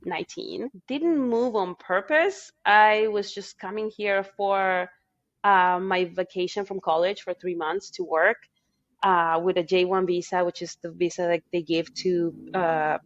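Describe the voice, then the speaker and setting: A young woman from Peru with a Peruvian Spanish accent, chatting as a podcast guest and acting out quotes in a higher, excited voice. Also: unhurried, highs cut off. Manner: animated storyteller, acts out quotes in a higher voice, laughs often. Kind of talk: casual podcast conversation.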